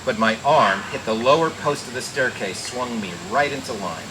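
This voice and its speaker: An actor playing a tough, playful private detective with a wry, flippant delivery in an old-time radio drama. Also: male voice, medium pitch, normal speed, natural voice. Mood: tense narration. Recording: noisy old radio recording.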